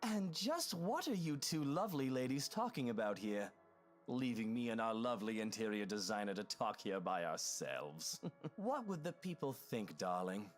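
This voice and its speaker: A man's voice: medium pitch, sing-song, normal speed, soft background music, lightly acted. A man voicing a jovial, smug rich husband who speaks theatrically. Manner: theatrical, chuckling, sing-song. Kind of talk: voicing a visual novel.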